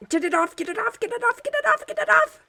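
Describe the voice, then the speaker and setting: A woman playing an excitable, easily flustered young squire in a fantasy audio drama, full of exclamations. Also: very high-pitched, quick pace, heavily acted. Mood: panicked.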